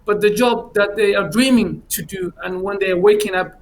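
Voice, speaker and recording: A man who grew up in Finland, answering as an election candidate on a panel in a halting way with many fillers. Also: sits high, gravelly, a little hiss or hum. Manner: hesitant, many fillers and restarts.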